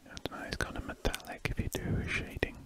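A man with a British English accent whispers breathily in an ASMR style. He rambles casually and gently, musing in a hesitant way. Pen scratches on paper sit behind the voice. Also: fast. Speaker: ASMR artist. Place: small warm room at a desk, window shut.

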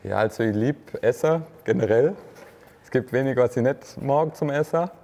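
A man's voice: medium pitch, normal speed, dark tone. A man with a Swabian accent, a rapper chatting casually as an interview guest in a large museum hall on a lapel mic. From Swabia, Germany.

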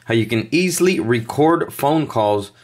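A man's voice: medium pitch, lively intonation, unhurried, a little mellow. A man hosting a tech tutorial, explaining things step by step in a casual way.

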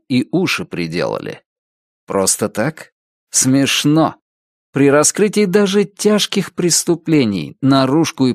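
A man reading a detective novel aloud as an audiobook narrator, with an expressive, varied delivery. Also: medium pitch, no room sound, studio quality.

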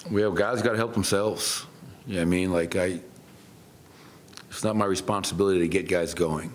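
A middle-aged man, a head coach, answering questions at a post-game press conference in a measured, matter-of-fact way with frequent fillers. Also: low-pitched, steady tone, a little hiss or hum. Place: press room.

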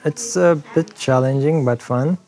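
A man's voice: a little high, unhurried. A man in his twenties from Iraq with an Iraqi accent, speaking unscripted as an engineering student in an interview. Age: 20s. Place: busy classroom studio, indoors. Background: soft background music.

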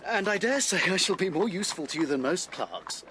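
An actor playing a proud, struggling Victorian writer in a radio drama, English-accented, earnest, formal and brittle. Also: male voice, sits high, animated, a little fast, pushed and bright, slight background noise. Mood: earnest, pleading. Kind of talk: period radio drama acting.

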